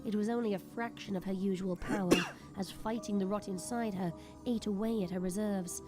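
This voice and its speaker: A woman's voice: medium pitch, steady tone, moderate pace. Woman narrating drama over prominent music. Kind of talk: scripted dramatic story narration.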